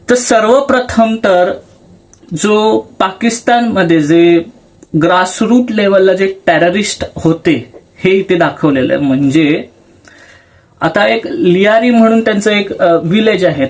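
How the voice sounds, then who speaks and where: A man hosting a film channel, explaining things casually and conversationally with English words mixed in. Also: high-pitched. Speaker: YouTube film channel host.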